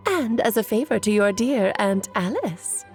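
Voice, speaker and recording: A woman's voice: mid-range voice, sing-song, unhurried, music in the background. A woman playing a playful, scheming, self-assured mage aunt in a video game, airy and teasing in a theatrical way. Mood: coy, coaxing.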